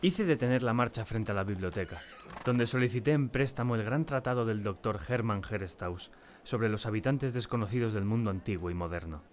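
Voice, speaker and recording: A man acting a tormented, terrified diarist in a dramatic first-person audiobook narration, with a solemn and deliberate, theatrical delivery. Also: fairly low pitch, lightly acted. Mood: uneasy, brooding. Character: tormented, terrified man confiding in his diary.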